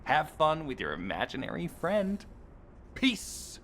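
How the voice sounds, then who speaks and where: A cocky game soldier with a very animated, swooping delivery. He is sarcastic and flippant, swaggering with a bitter edge. Faint noise sits behind the voice. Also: fairly high pitch, slow, creaky, full character voice. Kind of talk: video game cutscene acting.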